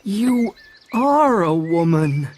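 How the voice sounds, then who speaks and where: A man playing an eager, lovestruck young king in a storybook, with an American accent and a husky voice. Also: high voice, very animated, very slow, a little mellow, a little hiss or hum, full character voice. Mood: eager, smitten. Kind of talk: storybook dialogue. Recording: long hall-like reverb.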